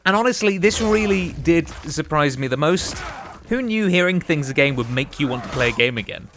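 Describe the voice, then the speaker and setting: A man's voice: on the high side, lively intonation, normal speed, a bit bright, slight background noise. A man narrating a scripted video game countdown as a reviewer, with an emphatic presenter's delivery. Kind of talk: scripted narration of a top five games list.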